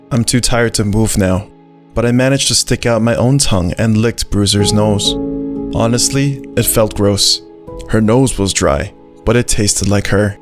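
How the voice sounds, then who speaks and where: Man voicing a gentle, brave little dog, music behind. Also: lowish voice, natural voice. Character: gentle, brave, loyal little dog narrating his story. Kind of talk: first-person scripted story narration.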